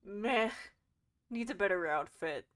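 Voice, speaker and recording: A woman's voice: medium pitch, animated, a little slow, fairly bright tone. A woman doing casual game playthrough commentary as a streamer, with brief offhand reactions.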